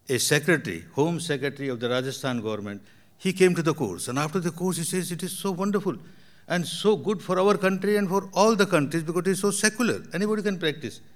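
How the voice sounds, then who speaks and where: An older man from Burma, a meditation teacher answering audience questions in emphatic, repetitive phrasing. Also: mid-range voice.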